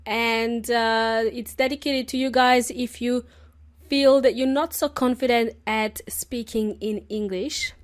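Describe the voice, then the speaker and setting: A woman hosting an English-learning podcast, talking chattily and laughing often, her voice hoarse from a cold. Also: a little high, steady tone, slow. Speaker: podcast host.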